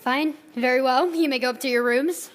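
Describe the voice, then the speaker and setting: A woman playing a stern, indignant teacher at a wizard school, scolding in drawn-out words in a comic dramatic reading. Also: high voice, natural voice. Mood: grudging.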